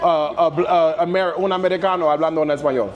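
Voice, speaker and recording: A man hosting a food vlog, chatting playfully and competitively while mixing English with halting Spanish. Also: fairly high pitch, fairly even intonation, dull audio. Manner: joking, competitive, switching between English and broken Spanish. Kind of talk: playful casual chat. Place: busy restaurant. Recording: echoey room with crowd chatter and music.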